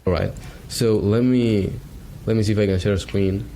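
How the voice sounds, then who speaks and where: A man's voice: fairly low pitch, steady tone, normal speed, dark tone. Hesitant Argentine man on a video call. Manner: hesitant, filler-heavy, casual. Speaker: beginner agency salesman.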